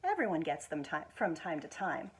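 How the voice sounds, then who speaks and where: An American woman with a husky voice reads a children's picture book aloud expressively, stretching words for emphasis. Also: low voice. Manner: expressive, with drawn-out emphasis and quiet asides to show pictures. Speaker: executive director of a schools foundation, guest reader.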